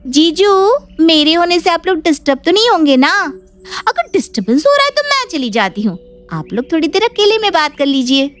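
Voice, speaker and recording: Woman putting on a high-pitched, teasing young sister. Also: sing-song, a little fast. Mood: playful, polite. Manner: playful, teasing.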